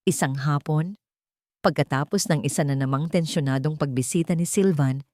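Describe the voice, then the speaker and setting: A woman's voice: low-pitched, moderate pace, dark tone, dry recording, clean studio sound. A woman with a Filipino accent reading a dramatic romance story as scripted narration.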